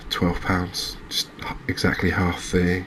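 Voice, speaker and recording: A man giving soft-spoken, relaxed ASMR-style game commentary in a hesitant, musing, understated way, as a gaming video creator. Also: deep voice, a little slow, slight background noise.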